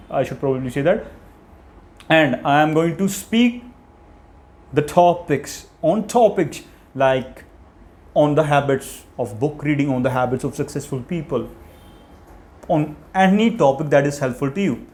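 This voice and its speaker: A man's voice: a little high, a little mellow. Earnest young man. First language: Punjabi. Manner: thinking aloud with frequent restarts and self-corrections; earnest and motivational. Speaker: vlogger and English-learning challenge host. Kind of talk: unscripted casual talk to camera.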